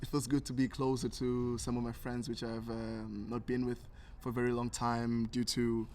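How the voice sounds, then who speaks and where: A man's voice: medium pitch, little pitch movement, moderate pace, darkish tone. Reflective young man, noisy background. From Tanzania. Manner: reflective, earnest. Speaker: interview guest. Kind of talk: casual personal interview.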